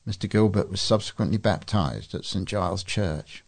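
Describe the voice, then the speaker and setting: A man's voice: deep voice, moderate pace, vocal fry, a little mellow. A man reading scripted local news as a volunteer reader. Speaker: volunteer newsreader for a local talking newspaper.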